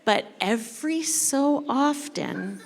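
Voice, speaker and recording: A woman leading a live dramatic reading as a church storyteller, with playful, emphatic phrasing and pauses. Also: high voice, animated, vocal fry, a little hiss or hum. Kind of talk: animated storytelling to a live audience, with asides to participants. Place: large indoor church room. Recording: reverberant hall, live event sound.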